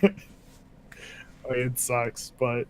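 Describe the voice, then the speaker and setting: Young male co-host, mid-pitched, soft and hedging, easygoing conversational podcast delivery. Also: monotone, extremely slow. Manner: soft-spoken, hedging with "like" and "I mean". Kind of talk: casual chat.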